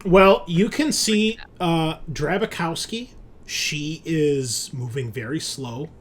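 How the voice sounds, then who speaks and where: A man's voice: on the high side, takes it slowly. Man game-mastering, faint background noise. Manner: casual, conversational, swears. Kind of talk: improvised narration of a tabletop horror game.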